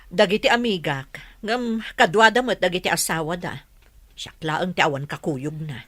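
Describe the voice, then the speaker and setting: A woman's voice: on the low side, moderate pace, fairly bright tone, no room sound. A woman acting in a radio drama as a discontented, complaining wealthy wife. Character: nagging, discontented wealthy wife wanting company.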